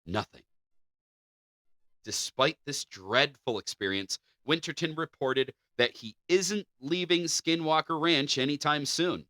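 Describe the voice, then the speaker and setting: A man's voice: fairly high pitch, unhurried, sharp tone, dry recording, studio quality. A man co-hosting a podcast, chatting casually and reading out stories. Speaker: podcast co-host.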